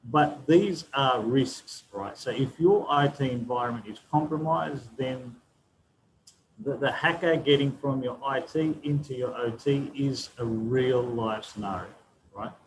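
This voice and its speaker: A man's voice: medium pitch, measured pace, a little hiss or hum, poor audio. A man answering a panel question in a webinar, explaining step by step and often tagging sentences with "right?". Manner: explanatory, frequent tag "right?".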